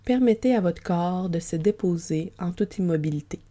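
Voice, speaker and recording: Soft, breathy woman guiding sleep relaxation. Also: fairly low pitch. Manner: gentle, soothing, unhurried. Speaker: yoga nidra guide. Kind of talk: guided sleep relaxation, meditation narration.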